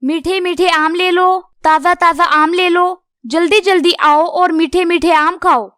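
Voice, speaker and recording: A woman's voice: extremely high pitch, steady tone, measured pace, a bit bright, no room sound, clean studio sound. A woman voicing a friendly, polite little female bird who sells mangoes in a children's cartoon. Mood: cheerful, polite.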